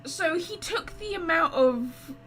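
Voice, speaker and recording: A woman giving casual gaming commentary in a high, bright voice. She is informal and reactive, thinking aloud over faint background noise. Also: expressive, takes it slowly. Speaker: gaming video host. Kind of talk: casual let's-play commentary.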